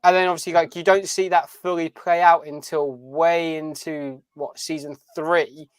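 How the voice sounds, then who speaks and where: A man's voice: a little high, fairly even intonation, normal speed, clear, resonant tone, dry recording. A man co-hosting a podcast, chatting casually as a fan and often repeating phrases as he thinks. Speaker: podcast co-host. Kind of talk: casual fan chat about a TV series.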